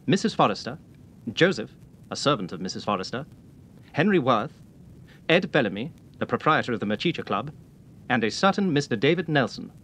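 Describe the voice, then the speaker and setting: Animated British male radio serial announcer. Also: mid-range voice. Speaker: announcer, narrator. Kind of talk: scripted recap narration of radio serial. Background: faint music.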